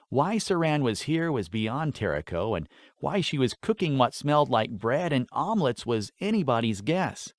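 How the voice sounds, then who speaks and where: A man narrating a fantasy story in an expressive, scripted audiobook style. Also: medium pitch, dry recording, clean studio sound.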